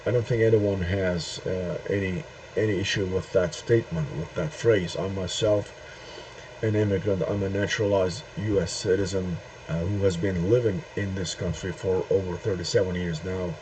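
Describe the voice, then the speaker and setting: A middle-aged man from Turkey, speaking a halting opinion monologue to camera with many pauses and "uh"s. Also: sits low, fairly even intonation, darkish tone. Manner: halting, searching for words with frequent "uh" and "um" pauses. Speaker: video host. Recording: dull lo-fi sound with faint noise.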